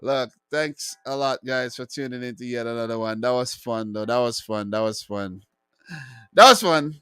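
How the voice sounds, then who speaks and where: A man's voice: medium pitch, moderate pace, pushed and bright, smooth, no room sound, studio quality. A man hosting a football live stream, talking in a loose, joking way with frequent restarts. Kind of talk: casual live-stream chat.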